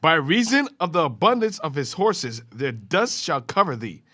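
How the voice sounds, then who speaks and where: A man reading scripture aloud in a class, with a steady, formal reading cadence. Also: fairly high pitch, moderate pace.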